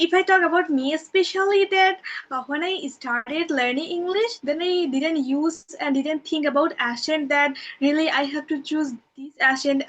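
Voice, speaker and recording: A woman from Bangladesh, a podcast guest, giving earnest, long run-on answers with soft fillers. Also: sits high, poor audio. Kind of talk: interview answers.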